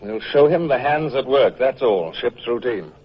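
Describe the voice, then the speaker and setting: A muffled vintage radio drama recording carries a British commodore of the navy. His voice is medium in pitch and slightly rough. He speaks formally, with dry authority and clipped resolve. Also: animated, moderate pace. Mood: resolute. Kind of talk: old-time radio drama acting and first-person narration.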